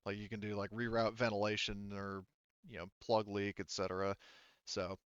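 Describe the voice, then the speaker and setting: A man with a smooth, lowish voice acts as game master, explaining the game in a loose, conversational way peppered with filler phrases. The sound is close and dry, though slightly dull. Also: little pitch movement, normal speed, a bit bright, no room sound. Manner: hedging, conversational, heavy use of "you know" and "like". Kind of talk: casual tabletop game narration, explaining.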